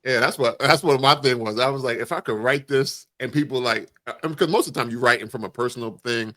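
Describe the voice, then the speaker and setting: A man's voice: mid-range voice, fast talker, a bit bright. A man co-hosting a podcast, chatting casually in informal, slangy language with some swearing. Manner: informal slangy speech with profanity. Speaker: podcast co-host. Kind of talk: casual chat.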